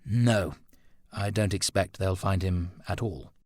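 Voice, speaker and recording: A man doing courteous reserved gentleman. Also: low-pitched, slow pace, no echo, studio quality. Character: reserved polite young gentleman.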